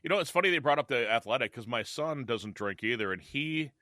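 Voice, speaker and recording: A man's voice: mid-range voice, animated, fairly quick, bright, forceful tone, dry recording, studio quality. A man in his fifties co-hosting a podcast, chatting casually and often repeating himself. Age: 50s. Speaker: podcast co-host. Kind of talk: casual chat.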